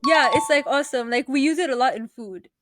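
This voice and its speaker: A woman's voice: high-pitched, background noise. An eighteen-year-old woman from South Africa co-hosting a podcast, chatting casually with lots of "like". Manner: chatty, lots of "like", quick laughs. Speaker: podcast co-host. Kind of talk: casual chat.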